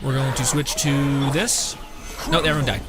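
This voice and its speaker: A man's voice: medium pitch, steady tone, normal speed. Sarcastic young man streaming a game. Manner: rambling, sarcastic, profane. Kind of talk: casual joking gameplay commentary. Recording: game music behind voice.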